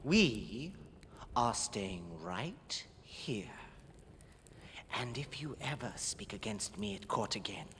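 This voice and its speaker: An actor playing a cruel young nobleman who threatens his sister, in a low, menacing voice. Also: very animated, slow pace, a bit bright, big-room sound, a little hiss or hum, exaggerated voice. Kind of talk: audio drama acting.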